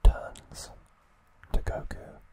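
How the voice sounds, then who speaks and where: A man whispering an ASMR recap narration in short, hushed, unhurried phrases.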